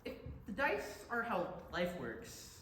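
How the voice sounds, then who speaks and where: Girl acting irreverent college student, blunt, lively pitch, echoey bit noisy. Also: slow, heavily acted. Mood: blunt, sarcastic. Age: young adult. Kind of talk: staged play reading. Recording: echoey room, background noise.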